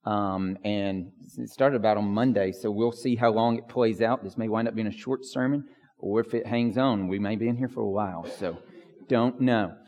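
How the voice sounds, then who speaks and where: A man preaching as a pastor, with a hoarse voice and lots of fillers and restarts. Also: on the low side, normal speed, a little mellow. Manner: filler-heavy, restarts often. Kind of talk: prayer and sermon. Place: church sanctuary. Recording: long church reverb.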